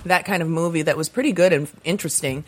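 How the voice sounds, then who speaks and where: A woman's voice: fairly low pitch, fast. A woman co-hosting a casual chat show, giving her opinions in an outspoken, emphatic way. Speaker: podcast co-host.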